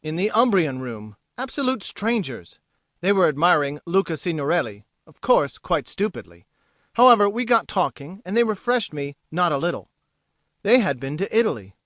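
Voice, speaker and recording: A woman reading an audiobook, voicing a smug, teasing young gentleman who talks in an arch, lofty way. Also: on the low side, big pitch swings, normal speed, dry recording, clean studio sound, voice pushed down. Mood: amused. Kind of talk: audiobook character voice.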